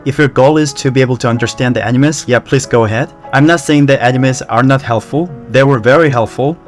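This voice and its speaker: A young man from Korea with a Korean accent, talking to camera in a relaxed, conversational way about how he learned a language. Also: medium pitch, a little fast, faint music behind. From South Korea. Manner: relaxed, conversational, with fillers like "you know" and "like". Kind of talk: casual talk to camera.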